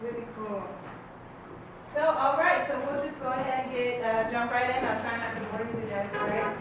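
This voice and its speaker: A woman's voice: a little high, fairly even intonation, normal speed, lo-fi sound. A woman from Southern California speaking informally to a community meeting, with many "um"s and "right?" check-ins. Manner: conversational, frequent "um", checks in with "right?". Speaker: community organizer presenting at a meeting. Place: indoor meeting room. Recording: very echoey room, mic far off, background noise.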